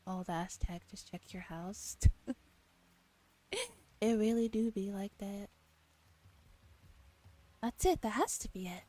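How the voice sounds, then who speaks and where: A young woman streamer with a soft, breathy voice, reading a visual novel aloud in ASMR style and chatting playfully in casual slang. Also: mid-range voice, slow, long pauses. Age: young adult. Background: game music.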